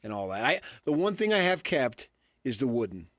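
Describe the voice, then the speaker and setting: A man's voice: mid-range voice, sing-song, a little fast, fairly bright tone, no echo, clean studio sound. An American man co-hosting sports talk radio, speaking casually in rambling anecdotes and asides.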